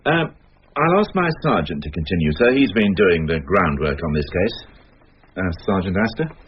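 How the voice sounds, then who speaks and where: An actor playing a weary, probing police inspector with a British accent in a radio crime drama, questioning in a measured, formal way. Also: male voice, low voice, very animated. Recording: dull, hissy old radio recording with clicks.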